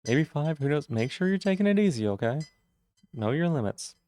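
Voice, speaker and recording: Mellow-voiced streamer chatting with viewers. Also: male voice, very animated, brisk. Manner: friendly, greets chat members by name, jumps up in pitch on greetings. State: rough voice. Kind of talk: casual chat with viewers.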